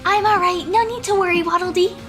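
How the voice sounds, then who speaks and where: A woman's voice: very high voice, brisk, over music. A woman voicing a cheerful, fearless little round hero creature in a fan radio play, bubbly, naive and eager. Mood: reassuring.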